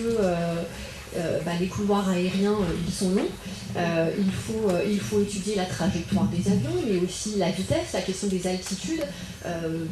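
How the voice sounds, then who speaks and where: A woman speaking as an invited regional councillor at a public political meeting, in halting phrases with many filled pauses. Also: mid-range voice. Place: indoor public meeting room. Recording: roomy echo, audible background noise.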